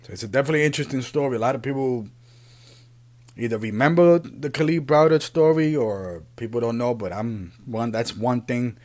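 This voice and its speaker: A man, born in Puerto Rico and raised in the Bronx, giving an earnest, unscripted opinion monologue as a podcast host, often halting and repeating himself. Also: medium pitch, darkish tone. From Puerto Rico, raised in the Bronx, New York City.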